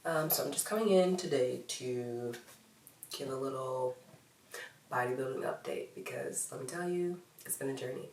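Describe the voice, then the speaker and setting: A woman's voice: very deep voice, moderate pace, darkish tone, a little hiss or hum. Casting: American woman, thirties, stuffy nasal voice, loose chatty vlog delivery, recorded off-mic in an echoey room. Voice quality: nasal, congested. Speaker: fitness vlogger. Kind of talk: casual vlog update to camera. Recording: roomy echo, distant microphone.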